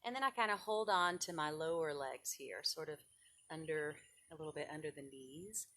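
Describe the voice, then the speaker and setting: A woman's voice: low voice, normal speed, a bit bright. A woman leading children's yoga and storytime, giving informal, encouraging, step-by-step guidance. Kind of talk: informal instruction and picture-book storytelling for children.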